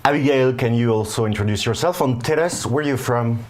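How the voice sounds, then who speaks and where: A man's voice: medium pitch, fairly quick, a little hiss or hum. A man hosting a live question-and-answer webinar, putting questions to guests. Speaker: host.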